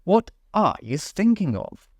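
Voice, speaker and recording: A man voicing a touchy, melancholy mouse with a severe, sharp manner in a storybook reading. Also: sits high, sing-song, unhurried, gravelly, no echo, clean studio sound, voice pushed up, full character voice. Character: touchy, easily offended, melancholy male mouse.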